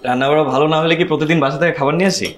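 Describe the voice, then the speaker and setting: A man's voice: a little high, over a noisy crowd, natural voice. A man acting in a TV drama as a hesitant, evasive young Bangladeshi newlywed who works in an office. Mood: amiable, casual. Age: young adult. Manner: hesitant, halting.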